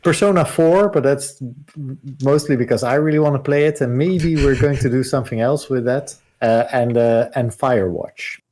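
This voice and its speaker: A man's voice: medium pitch, moderate pace, a little mellow. A man co-hosting a gaming livestream, talking casually and rambling with lots of fillers. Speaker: co-host.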